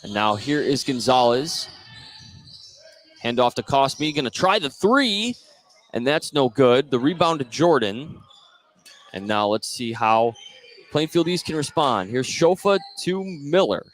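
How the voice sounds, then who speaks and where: A man's voice: medium pitch, animated, unhurried, slight background noise. A man doing live basketball radio play-by-play, narrating each play in short phrases. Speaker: play-by-play commentator.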